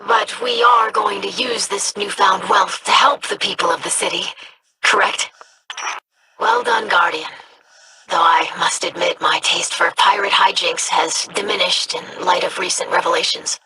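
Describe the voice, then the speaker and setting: A woman's voice: on the low side, steady tone, measured pace, background noise, lightly acted. A woman voicing a scholarly, idealistic young alien scribe in a video game, speaking formally and precisely. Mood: earnest, disapproving. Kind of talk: video game character dialogue.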